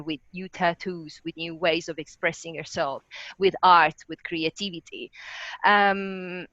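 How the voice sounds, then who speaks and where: A woman's voice: lowish voice, fairly bright tone, dry recording, dull audio. A woman with an Italian accent, a rocket scientist guest on a podcast, speaking in an earnest, encouraging way. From Italy. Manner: earnest, encouraging, talks in long runs. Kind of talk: podcast interview.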